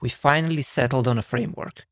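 A man from Slovenia, a software engineer guesting on a podcast, who talks casually and wanders off on tangents. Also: mid-range voice, fast talker, no echo, studio quality. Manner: rambling, self-deprecating, digressive.

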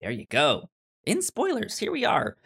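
A podcast host with a halting, stammering delivery, chatting casually about a film. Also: medium pitch, wildly swooping pitch, gravelly. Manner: halting, stammering with repeated syllables. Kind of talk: casual movie discussion podcast.

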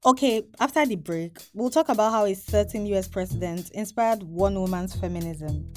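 A woman hosting and narrating a documentary podcast in a measured, explanatory way. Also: mid-range voice. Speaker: host and narrator. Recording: soft music bed behind voice.

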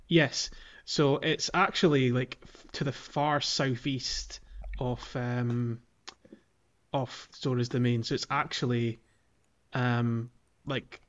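A man co-hosting a podcast, chatting casually about a video game. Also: medium pitch. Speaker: podcast host.

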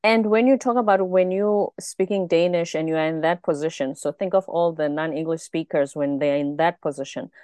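A woman with a fairly low voice hosts an interview, asking questions in a soft, encouraging manner. Her voice sounds dry and close, with no room around it. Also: a little fast, hardly any pauses, clean tone, dry recording. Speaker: interview host. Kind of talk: conversational interview.

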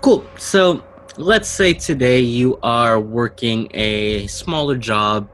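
A man's voice: mid-range voice, slow pace, fairly bright tone, a little hiss or hum. A man hosting and narrating a tabletop role-playing game as game master. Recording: music under the voice. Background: music.

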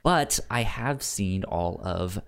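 A man chatting casually as a podcast co-host, halting and stammering over the starts of words. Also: low-pitched, expressive, vocal fry, clean tone. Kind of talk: casual chat.

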